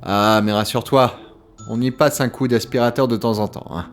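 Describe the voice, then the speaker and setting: A man's voice: medium pitch, fairly even intonation, moderate pace, soft background music, close to an everyday voice. A man playing a reassuring, drily teasing veteran employee showing a newcomer around, in a French audio comedy. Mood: explanatory. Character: wry, reassuring male office guide, patient veteran employee.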